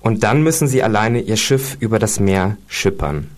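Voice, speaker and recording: A man's voice: lowish voice, expressive, normal speed. A man co-hosting a radio show, talking casually with many fillers and reading quotes aloud. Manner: hesitant, with fillers.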